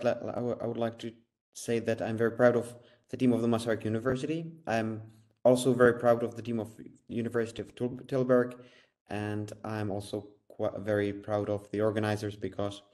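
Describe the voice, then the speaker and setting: Low-voiced man speaking haltingly. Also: level tone, darkish tone. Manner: halting, repeats phrases. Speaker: professor and debate team coach. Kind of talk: short formal well-wishing remarks.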